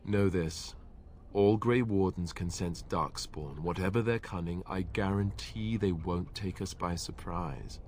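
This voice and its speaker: A wry young Grey Warden. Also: male voice, low voice, measured pace, a little mellow, a little hiss or hum. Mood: wry calm. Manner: dry, teasing humor.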